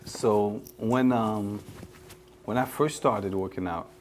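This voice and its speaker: A man in his forties with a mixed Caribbean accent, a guest talking earnestly with many halting fillers. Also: lowish voice, slight background noise. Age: 40s. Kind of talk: casual chat.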